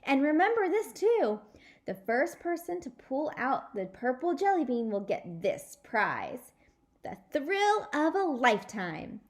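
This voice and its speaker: A proud, bossy little storybook girl acted by a woman talks in a high voice. She is showy and emphatic. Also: big pitch swings, unhurried. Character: bossy, giggly little girl proud of her party prize.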